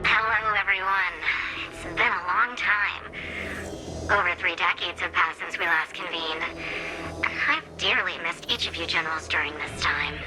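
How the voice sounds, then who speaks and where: A woman acts as a female seer strategist in a fantasy game cutscene. She is polished and knowing, with a playful, teasing courtesy. Music runs clearly beneath the voice. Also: lowish voice, normal speed, natural voice, rough recording. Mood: gracious greeting. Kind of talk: scripted game cutscene acting.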